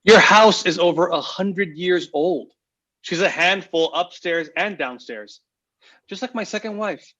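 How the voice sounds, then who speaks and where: A man's voice: high voice, measured pace, sharp tone, highs cut off, full character voice. A man playing a teasing, unbothered home contractor in a comedy table read.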